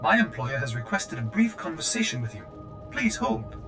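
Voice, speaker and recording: An actor voicing a polite, formal messenger droid in a video game, stiffly courteous. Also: male voice, medium pitch, very animated, normal speed. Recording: loud music underneath.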